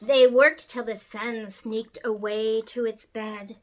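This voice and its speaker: A woman reading a rhyming children's picture book aloud in an expressive, sing-song way. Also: fairly high pitch, slow pace. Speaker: storyteller.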